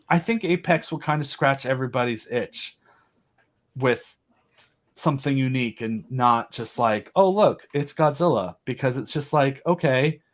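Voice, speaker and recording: A man with a fairly high voice speaks as a guest on a podcast. His delivery is casual and enthusiastic, animated with jokes and dry asides. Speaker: podcast guest, audio drama creator.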